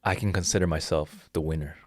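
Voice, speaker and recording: A man's voice: extremely low pitch, steady tone, quick pace, dry recording, clean studio sound. A man in his thirties, a jiu-jitsu instructor, explaining his philosophy earnestly in a casual interview with frequent restarts. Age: 30s.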